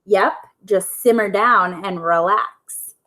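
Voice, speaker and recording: A woman's voice: medium pitch, takes it slowly, pushed and bright. A woman voices a folksy farm man from a storybook. He is callous and full of jokes. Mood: jokey. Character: joking, callous male farmer and truck driver.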